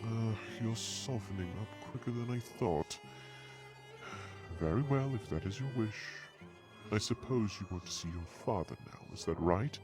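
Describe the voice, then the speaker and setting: A man's voice: fairly low pitch, expressive, unhurried, darkish tone, soft background music. A man playing a haughty, scheming dragon suitor in an audio drama, formal and condescending as he taunts.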